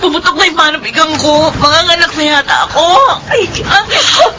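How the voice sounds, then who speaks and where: A woman's voice: high voice, a little fast, close to an everyday voice. A woman playing an anguished, demanding young woman in a Filipino radio drama, melodramatic and wailing, with a Filipino accent. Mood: pained, panicked. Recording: noisy radio drama recording.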